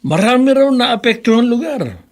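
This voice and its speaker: A man playing an old grandfather, gentle with family but stern as a father, in a Filipino radio drama, with a folksy, husky way of talking. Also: extremely high pitch, sing-song, moderate pace. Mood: chatty. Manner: folksy, sprinkles "eh".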